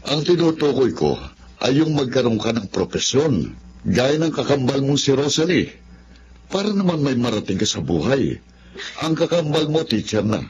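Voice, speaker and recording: A stern middle-aged Filipino father in a radio drama. He lectures in a mid-pitched voice with emphatic rises and falls. There is faint noise in the recording. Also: big pitch swings, normal speed, darkish tone, low-quality recording. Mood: scolding. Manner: scolding, sermonizing. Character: stern, nagging father of grown twin daughters.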